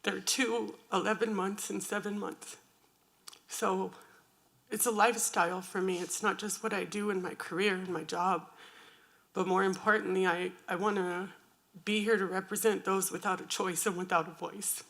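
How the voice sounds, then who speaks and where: A woman with grandchildren, a peer support worker, introducing herself on a panel in a loud, emotional, earnest way. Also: mid-range voice, steady tone, moderate pace, creaky. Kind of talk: panel introduction at a public forum.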